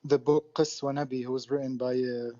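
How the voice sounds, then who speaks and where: A man's voice: mid-range voice, level tone, brisk, mellow. A polite man born in Lebanon who grew up with Arabic as his first language phones in to a show. His voice comes through a dull, muffled line. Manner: polite and complimentary. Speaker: caller. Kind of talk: phone-in call.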